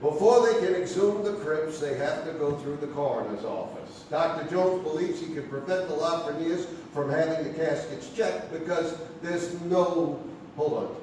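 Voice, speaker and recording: An actor playing a harried, slick 1940s brokerage boss, bossy and colloquial, in a radio-style stage drama. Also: male voice, fairly high pitch, moderate pace, a little mellow, natural voice. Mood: brisk, assured. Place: theatre stage, live show. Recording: echoey theatre stage, distant mic, faint noise.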